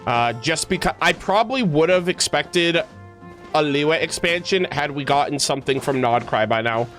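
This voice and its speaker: A man's voice: on the high side, lively intonation, moderate pace, fairly bright tone. A man streaming, chatting casually and rambling as he thinks aloud to his viewers. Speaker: livestreamer, host. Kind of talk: casual livestream chat. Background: music.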